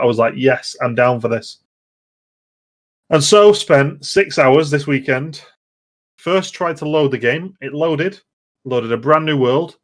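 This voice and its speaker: A man hosting a casual gaming podcast, chatty and digressive, often interrupting himself as he goes. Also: mid-range voice. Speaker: podcast host.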